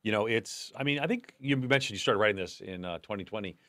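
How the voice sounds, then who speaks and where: A man's voice: fairly low pitch, quick pace, sharp tone, dry recording. A man in his fifties hosting a podcast interview in a studio, talking in a loose, conversational way with restarts. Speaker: podcast host. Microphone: desk boom mic.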